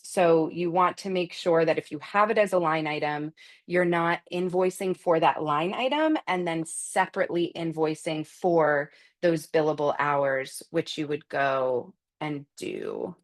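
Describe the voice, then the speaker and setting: A woman with a low-pitched voice answers customer questions during a software webinar. She talks off the cuff, explaining things step by step and correcting her own phrasing along the way. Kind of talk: unscripted webinar walkthrough and Q&A.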